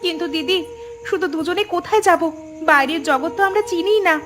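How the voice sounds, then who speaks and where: A woman's voice: extremely high pitch, fairly quick, a bit bright, soft background music. A woman voicing a timid, anxious younger sister-in-law in a village cartoon, fretful and full of questions. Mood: worried doubt. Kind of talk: cartoon voice acting.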